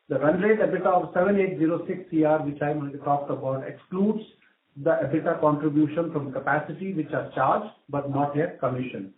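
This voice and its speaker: A man briefing investors as a finance executive on an earnings call, hesitating and repeating words as he goes. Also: on the high side. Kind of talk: semi-scripted earnings call presentation. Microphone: conference call phone line. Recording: echoey, tinny phone line.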